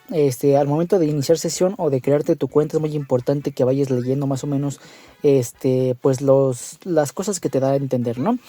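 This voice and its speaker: A man's voice: a little high, dark tone, dry recording. A man narrating a casual app tutorial in an informal, meandering way, often checking in with the listener. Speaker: tutorial host.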